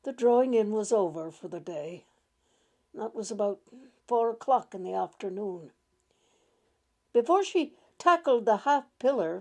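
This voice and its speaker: A woman reads a literary tale aloud with a gentle, deliberate delivery. Her voice is mellow and creaky, and she takes her time over each phrase at a slow pace. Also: medium pitch. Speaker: reader of short stories. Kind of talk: gentle literary story reading.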